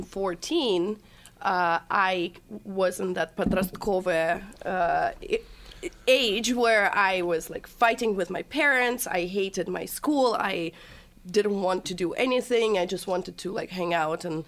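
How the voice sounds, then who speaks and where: A woman's voice: fairly low pitch, vocal fry. A young adult woman from Russia with a Russian accent, chatting as a podcast guest and telling her story with quoted dialogue. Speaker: guest, TikTok creator. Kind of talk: casual interview chat.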